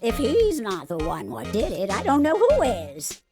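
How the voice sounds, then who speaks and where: A woman's voice: medium pitch, huge pitch swings, a little slow. A woman voicing a gossipy, folksy, opinionated elderly security guard in a video game. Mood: gossipy, smug. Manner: folksy, gossipy, ungrammatical turns of phrase. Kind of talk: scripted game character voice-over. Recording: background music throughout.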